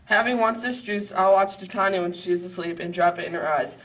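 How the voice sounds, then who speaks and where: Amateur performer as scheming puppet-show fairy king. Medium pitch, grand declamation, slightly distant with faint noise. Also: a little fast, fairly bright tone, close to an everyday voice. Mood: gleefully scheming.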